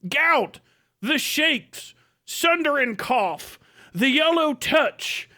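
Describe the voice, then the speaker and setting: Man putting on a very high-pitched pompous old-time chronicler. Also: lively intonation, extremely slow, sharp tone, heavily acted. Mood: mock-solemn. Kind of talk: mock-grand storytelling.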